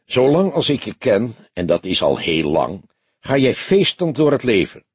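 A man's voice: mid-range voice, very animated, moderate pace, a little mellow, slightly rough, dry recording. A man voicing a patient, mocking, authoritative grey-haired old police detective in an audiobook. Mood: firm, teasing. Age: older.

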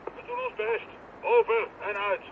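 An actor playing an apologetic, dutiful police officer reporting over a two-way radio in a Dutch radio drama. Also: male voice, extremely high pitch, huge pitch swings, normal speed, vocal fry, phone-quality sound, exaggerated voice. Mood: apologetic, businesslike. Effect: police radio filter. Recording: distant, thin, noisy two-way radio sound.

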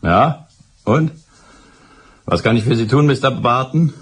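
An actor playing a dutiful police sergeant with a dry, matter-of-fact manner in a German radio play. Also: male voice, mid-range voice, lively intonation, unhurried, breathy edge. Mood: dry, businesslike. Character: dutiful, dryly humorous male police sergeant.

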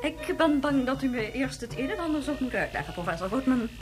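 A woman's voice: high voice, animated, fast, over loud music. A woman playing an imperious, sceptical head of state in a radio drama, speaking in a formal, dry way. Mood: impatient, cutting.

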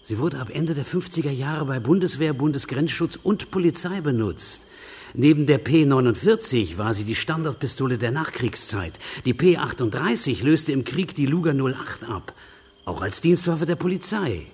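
An actor playing a sardonic, lecturing forensic investigator with a very husky, raspy voice in a radio crime drama. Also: male voice, mid-range voice, animated, moderate pace, a little mellow. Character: sardonic, know-it-all male forensic investigator.

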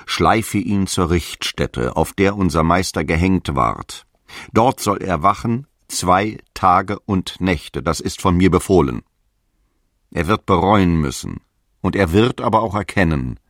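A stern prophet of the early church, very low in pitch and captured dry and close. He proclaims doctrine in grave, archaic, measured phrases, with fervent authority, as in a radio play. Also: a bit bright, dry recording. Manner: grave, commanding, measured preaching. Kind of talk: solemn archaic radio drama monologue.

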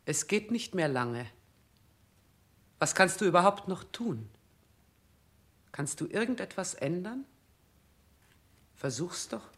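A woman's voice: fairly low pitch, sing-song, slow pace, long pauses, heavily acted. Implacable queen announcing doom; scornful, exact, commanding, with sweeping intonation. Radio drama. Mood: cool scorn. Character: cold, stern, unsentimental queen announcing doom. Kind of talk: 1960s German radio play acting.

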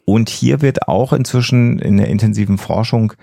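A man explaining psychology on a podcast in a measured way, hesitating as he searches for precise wording. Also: lowish voice, vocal fry, warm tone, dry recording, studio quality.

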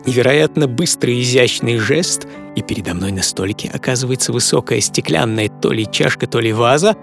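A man's voice: mid-range voice, brisk, creaky, lightly acted. A man performing a dramatised first-person story as a dreamy, passionate coffee businessman, savouring his words theatrically. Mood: dreamy wonder. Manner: theatrical, savouring, emphatic delivery. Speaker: author and narrator of a radio programme. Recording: studio voice over music. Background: music.

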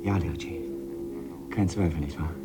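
An actor playing a driven, excitable scientist in a radio drama, with an urgent, commanding manner. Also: male voice, very deep voice, normal speed, over music. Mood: tense, absorbed. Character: driven, excitable male scientist absorbed in a daring experiment. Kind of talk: 1970s radio drama acting.

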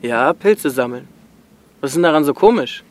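A man's voice: on the high side, animated, normal speed, close to an everyday voice. A man playing a brusque adult smuggler in a radio drama, giving curt instructions. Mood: curt, insistent. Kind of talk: naturalistic radio drama acting.

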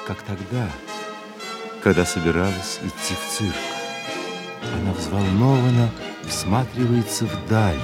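An actor playing a grave, unhurried storyteller who narrates a radio play in a scripted manner. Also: male voice, low-pitched, steady tone. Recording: loud orchestral music behind the voice.

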